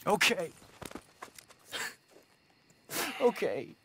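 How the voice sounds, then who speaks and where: A man's voice: sits high, very animated, unhurried, background noise, heavily acted. An actor playing an earnest, naive young man in a video game, plaintive and easily put on the defensive. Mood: sheepish. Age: young adult. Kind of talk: naturalistic video game drama acting. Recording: music behind game dialogue.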